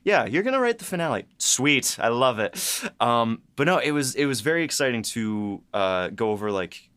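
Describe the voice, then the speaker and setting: Guy cohost on a podcast, really expressive pitch, playful, kinda halting chat. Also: smooth, no echo. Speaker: podcast co-host, series writer. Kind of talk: casual banter.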